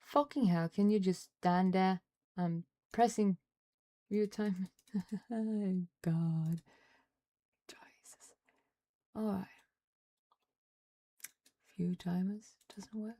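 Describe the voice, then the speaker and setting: A young Norwegian woman streaming live, chatting casually and muttering and swearing at her software as she struggles with it. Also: mid-range voice, steady tone, extremely slow, long pauses. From Norway. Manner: frustrated, swearing, muttering under her breath. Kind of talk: casual livestream chat while fiddling with software.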